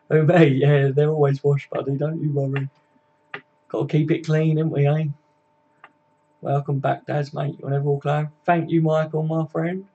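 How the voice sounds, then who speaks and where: A man's voice: fairly high pitch, level tone, moderate pace, pauses often, mellow, breathy edge, dull audio. A man, hosting a casual live stream, chatting matily with viewers and laughing easily. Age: 20s.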